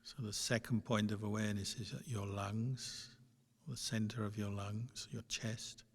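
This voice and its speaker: A man with a fairly low voice leads a guided meditation. He speaks very slowly in short, gentle instructions, leaving long pauses. His tone stays flat and even, and the sound carries a long hall reverb. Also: slightly rough. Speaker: Buddhist monk and meditation teacher.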